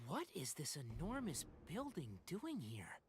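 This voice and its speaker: Lively, courteous young traveler. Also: male voice, on the high side, a little slow, slight background noise. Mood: surprised. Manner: courteous, earnest. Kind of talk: video game voice acting.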